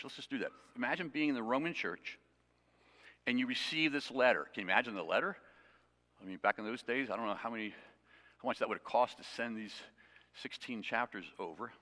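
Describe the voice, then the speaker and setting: Male pastor, mid pitch, bright tone, teaching sermon, reverberant sanctuary sound. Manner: conversational, self-deprecating asides. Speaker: preacher. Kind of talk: expository sermon. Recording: long reverb of a hall.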